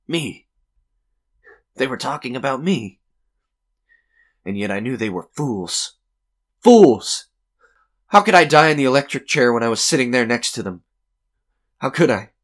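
An actor playing a tormented, unhinged man recounting his own doom with halting intensity in a radio suspense drama. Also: medium pitch, lively intonation, fairly bright tone, breathy edge, exaggerated voice. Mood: agitated disbelief. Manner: intense, halting, theatrical, repeating phrases.

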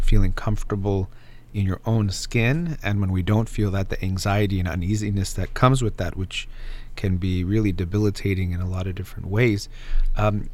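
Male advice host, slightly low voice, calm and reflective, explains things patiently. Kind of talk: advice talk with a caller.

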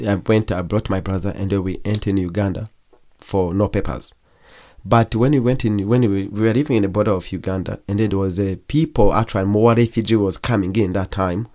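A young man from Congo, whose first language is Kigogwe, telling his own story as an interview guest in halting speech full of pauses and restarts. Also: fairly low pitch, darkish tone. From Democratic Republic of Congo. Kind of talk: personal testimony in an interview.

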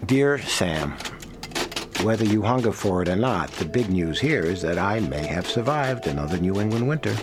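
A man's voice: lowish voice, animated, moderate pace, natural voice. An actor playing a proud, weary sixty-year-old American writer and father in a radio drama, dry, wry and articulate. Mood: wry, content. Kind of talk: radio drama, reading out letters and diary. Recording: echoey reverberant hall, music behind.

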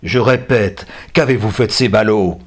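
Man playing a husky, menacing kidnapper. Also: sits low, lively intonation, normal speed, full character voice. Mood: roaring.

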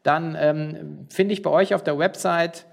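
Chatty German podcast host, fairly high. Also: male voice, measured pace, creaky. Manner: relaxed, many fillers and restarts. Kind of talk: casual interview.

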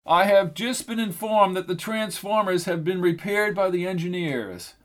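Guy doing a stiff formal fair official, crisp and proper, kinda high voice. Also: normal speed, lightly acted.

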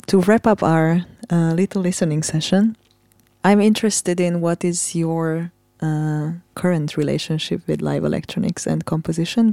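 A Hungarian-native singer and composer asking interview questions in a deliberate, earnest way. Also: mid-range voice, gravelly, dark tone, no room sound.

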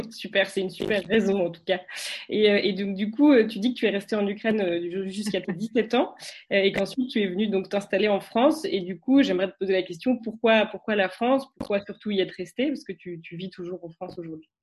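A woman's voice: medium pitch, fairly quick, a little mellow. Friendly woman interviewer. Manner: friendly, laughs often. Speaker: interviewer, host. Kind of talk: casual interview.

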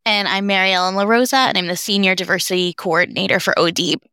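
A woman co-hosting a podcast, handing over to the guests in a brisk, friendly way. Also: medium pitch, fairly even intonation, pushed and bright, clear, resonant tone, no room sound, studio quality. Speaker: diversity coordinator, co-host.

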